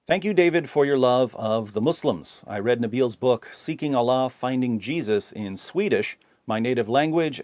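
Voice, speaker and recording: A man's voice: medium pitch, a little mellow, no room sound. Man reading comments in a dry voice. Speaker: reader of viewer comments.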